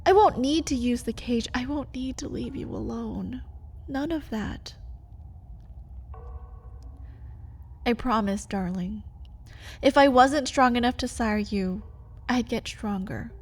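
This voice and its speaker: Caged vampire lover , played by a woman: unhurried, husky-breathy, intimate ASMR delivery, pleading with a bitter edge. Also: on the high side. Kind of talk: ASMR roleplay.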